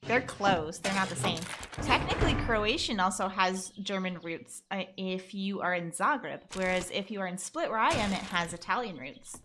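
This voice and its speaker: A woman's voice: mid-range voice, animated, moderate pace. A woman streaming a survival game, chatting casually and rambling with plenty of fillers. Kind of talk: casual chat while gaming. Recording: loud background noise with clicks.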